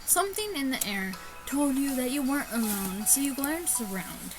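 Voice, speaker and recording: A woman hosting a gaming video, reading a visual novel aloud in a casual, halting way with stumbles and asides. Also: sits high, lively intonation, soft background music. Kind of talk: casual chat and read-aloud let's play.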